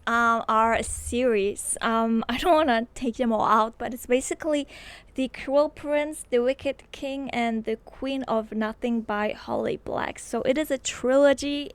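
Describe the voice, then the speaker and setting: A woman's voice: on the high side, clear, resonant tone, no echo. Chatty woman reviewing books. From Poland. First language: Polish. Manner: chatty and enthusiastic, many fillers like "like" and "um". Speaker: book reviewer. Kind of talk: casual book review vlog. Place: small bedroom with bookshelves, indoors. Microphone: handheld microphone close to mouth.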